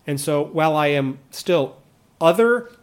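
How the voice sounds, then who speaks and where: A man's voice: on the high side, expressive, unhurried. A man in his thirties, an English speaker, talking earnestly and reflectively about his own experiences, with frequent restarts and asides. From United States. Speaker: vlogger living abroad. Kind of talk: reflective personal monologue.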